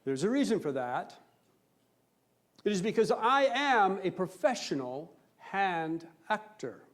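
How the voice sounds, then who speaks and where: A man with a notably high voice delivers a humorous storytelling speech on stage. He boasts with a straight, mock-serious air and leans on theatrical pauses for effect. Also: sing-song. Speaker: speech contest competitor telling a comic tall tale. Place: live on stage before a laughing audience.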